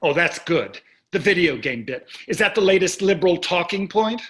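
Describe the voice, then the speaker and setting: A man's voice: high-pitched, animated, normal speed, fairly bright tone. Man playing a sarcastic, callous rich husband. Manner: sarcastic, dismissive. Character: wealthy, cynical, callous, golf-loving husband. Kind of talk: staged play reading, marital argument.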